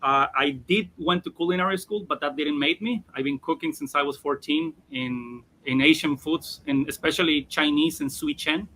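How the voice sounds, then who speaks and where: A man's voice: fairly high pitch, normal speed, hardly any pauses, sharp tone, slight background noise. A man, a chef, introducing himself on a live video call in a rambling way, full of restarts. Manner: rambling, with many restarts and fillers. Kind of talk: casual live video chat.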